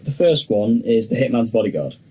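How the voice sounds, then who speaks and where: A man's voice: medium pitch, big pitch swings, brisk, mellow, husky, poor audio. A young man co-hosting a podcast, talking casually and chattily about films with firm opinions. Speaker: podcast co-host. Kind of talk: casual film discussion.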